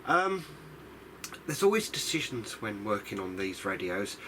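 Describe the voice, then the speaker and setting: Low-voiced, hesitant man with background noise. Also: big pitch swings, a little slow, sharp tone, rough recording. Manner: rambling, hesitant, self-deprecating. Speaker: hobbyist radio restorer presenting a video diary. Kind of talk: casual unscripted demonstration.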